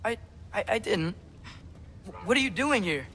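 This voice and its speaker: A man's voice: high voice, animated, unhurried, pushed and bright, a little hiss or hum, heavily acted. A young man playing an earnest, guarded teenage boy hero in a video game, hesitant and stammering when flustered. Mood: flustered, defensive. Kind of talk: naturalistic video game acting.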